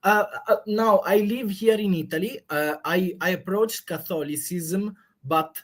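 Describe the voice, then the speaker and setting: A young man with an Albanian accent, a caller who speaks haltingly with many restarts and fillers. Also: high-pitched, a bit bright. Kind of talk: hesitant call-in chat.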